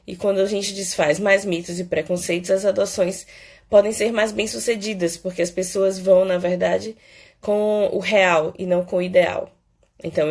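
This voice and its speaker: A hoarse woman talks into her phone on a live stream, her voice gravelly and stuffed up from a cold. She pitches low for a woman and chats freely with viewers, filling every pause with little tags. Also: a little mellow. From Salvador, Bahia, Brazil. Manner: chatty, fills pauses with "né" and "é". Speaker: psychologist hosting a live Q&A.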